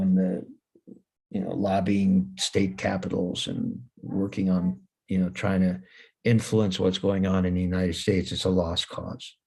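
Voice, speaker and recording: A man's voice: sits low, vocal fry, a little mellow, slightly rough. A man in his seventies whose first language is Hebrew, talking casually over a video call, plain-spoken and wry, often repeating his words. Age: 70s. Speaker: speaker at a talk. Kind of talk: casual talk.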